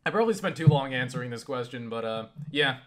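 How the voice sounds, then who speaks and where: A man chatting casually and haltingly about games, swearing freely in an animated way. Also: mid-range voice. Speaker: video creator answering viewer questions. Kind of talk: casual rambling game chat.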